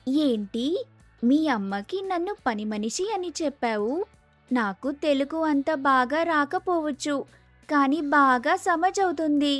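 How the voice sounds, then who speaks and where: A woman playing an outspoken, naive young North Indian bride with a Hindi accent, speaking haltingly and mixing in Hindi words. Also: high-pitched, animated, a bit bright, dry recording, faint music behind. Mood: indignant. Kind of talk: dramatised moral story dialogue.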